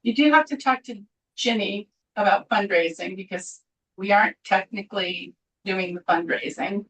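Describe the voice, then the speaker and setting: Brisk woman, heard from across a boardroom. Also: mid-range voice, a bit bright. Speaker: committee member. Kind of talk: meeting discussion. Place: town boardroom. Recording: distant room mic.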